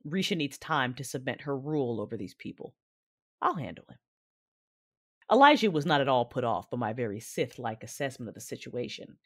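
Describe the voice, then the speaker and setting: A woman playing a cool, shrewd strategist with a dark streak, narrating in the first person in a sci-fi audio drama. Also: extremely low pitch, sing-song, brisk, no echo, clean studio sound, exaggerated voice. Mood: cool, calculating.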